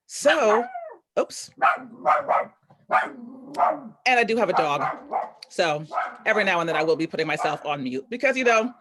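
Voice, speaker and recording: A woman's voice: fairly low pitch, big pitch swings, normal speed, pauses often, heavy background noise. A woman hosting a live online storytelling event over a video call, upbeat and chatty with loose, rambling phrasing. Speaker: host.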